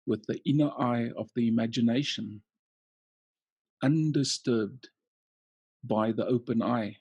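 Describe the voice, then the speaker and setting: Guy doing a slow hypnosis induction, gravelly voice, soothing, lots of long pauses. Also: mid-range voice, warm tone, no room sound, muffled recording. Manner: soothing, measured, with long pauses between short phrases. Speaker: coaching trainer leading a session. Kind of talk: guided relaxation, hypnotic induction.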